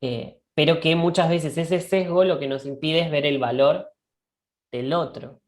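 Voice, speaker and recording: A woman with a notably low voice talks through ideas slowly and reflectively. She pauses often and restarts phrases. She keeps checking in with her listener, as if over a video call. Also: darkish tone. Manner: thoughtful, halting, often checks in with a questioning "right?". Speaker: discussion co-host. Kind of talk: reflective conversational dialogue.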